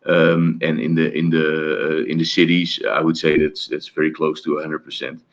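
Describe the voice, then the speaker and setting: Deep-voiced man, halting webinar talk. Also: level tone, gravelly, dull audio. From Netherlands. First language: Dutch. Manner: halting, many fillers and restarts. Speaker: presenter of study-abroad webinar. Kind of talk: informal webinar presentation.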